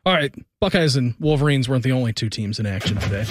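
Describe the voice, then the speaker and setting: A man's voice: mid-range voice, fast, over loud music. A man co-hosting a post-game college football radio show, steadily reading through scores and results. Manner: steady, reads scores and rundowns. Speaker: radio sports co-host.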